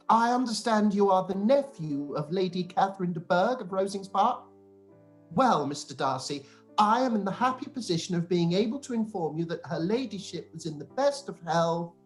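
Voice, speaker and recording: An actor playing a pompous, obsequious clergyman in a radio play, halting, wordy and self-important. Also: medium pitch, natural voice. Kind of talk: radio play live read acting.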